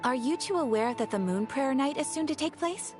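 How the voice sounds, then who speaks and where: High-voiced, courteous guild receptionist. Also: female voice, animated, a little fast, loud music behind the voice. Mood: informative. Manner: formal, courteous, explanatory. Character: polite female adventurers' guild receptionist, helpful and composed. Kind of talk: scripted video game dialogue.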